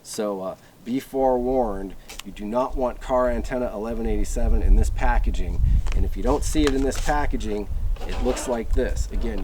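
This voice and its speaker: A man giving a casual, off-the-cuff product review, rambling a little and repeating himself for emphasis. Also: lowish voice. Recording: clearly noisy recording with clicks.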